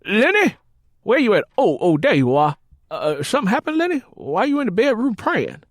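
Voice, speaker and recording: Audiobook role: loud, irreverent Southern husband, raised pitch, folksy drawl, fond of mocking and drink. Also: male voice, huge pitch swings, brisk, no room sound, clean studio sound. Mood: loud, probing. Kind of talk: audiobook character acting.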